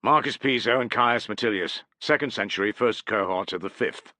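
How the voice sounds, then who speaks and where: Man doing a hard-bitten veteran soldier, snarling, foul-mouthed, sharp bright voice. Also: fairly low pitch, normal speed, no echo. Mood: angry. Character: tough angry male Roman legionary veteran.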